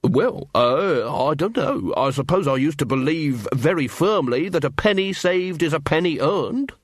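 A man's voice: fairly high pitch, animated, measured pace, no room sound, voice pushed up. A man voicing a hesitant, grumbling dwarf from a fantasy crowd.

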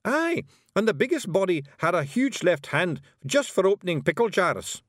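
Brief: tiny Scottish fairy brawler, high, brassy and loud, boisterous, swinging all over. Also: male voice, very animated, normal speed, no echo, studio quality, heavily acted. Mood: boastful. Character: tiny brash male fairy warrior chief.

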